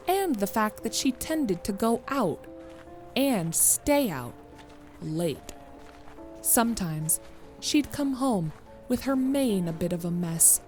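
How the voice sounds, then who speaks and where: A woman narrator reading a scripted story. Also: medium pitch.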